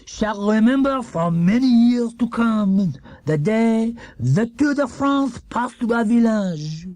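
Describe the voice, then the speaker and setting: Storybook village Frenchman played by a man, excitable and grand, high declaiming voice. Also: takes it slowly, dry recording, exaggerated voice. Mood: proud, proclaiming. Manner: loud, proclaiming.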